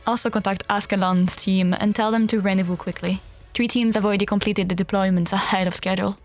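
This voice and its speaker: A woman's voice: mid-range voice, steady tone, fast talker, lightly acted. A woman acting a composed, authoritative field commander in a game fan dub, formal and businesslike. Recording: background music under the voice.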